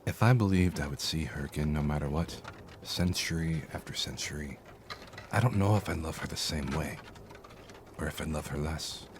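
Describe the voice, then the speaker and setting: Casting: male actor for reflective mortal man, fantasy audio drama. Bottomless low register, solemn, measured, earnest; noticeable ambient noise. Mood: solemn, tender.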